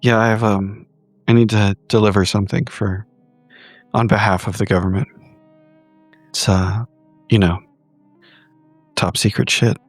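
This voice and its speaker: Frayed, intense male agent acted by a man, average-low pitch, halting, grim, dry close sound over faint music. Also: fairly even intonation, no room sound. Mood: evasive.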